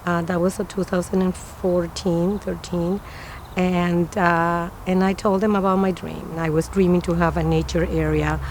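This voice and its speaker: Earnest Peruvian-born woman, lowish voice. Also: little pitch movement, normal speed, darkish tone, slight background noise, poor audio. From Peru. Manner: earnest, with non-native phrasing and many fillers. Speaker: preschool director. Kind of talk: interview.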